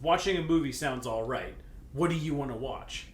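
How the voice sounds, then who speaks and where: A man's voice: medium pitch, moderate pace. A man reading fan fiction aloud comedically, theatrical in delivery, with joking asides and a readiness to break into laughter. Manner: theatrical, breaks into laughter, bursts into mock shouting. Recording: roomy echo with clear background noise.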